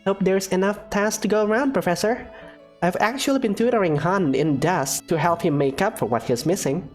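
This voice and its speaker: A woman's voice: mid-range voice, moderate pace, darkish tone, faint music behind, voice pushed up, natural voice. A woman voicing a prim, studious young female student who speaks formally and precisely.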